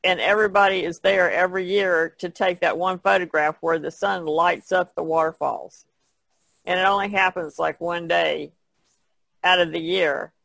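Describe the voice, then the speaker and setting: A woman's voice: lowish voice, steady tone, moderate pace, vocal fry, a bit bright, dry recording. A woman talking casually as a co-host in a podcast discussion.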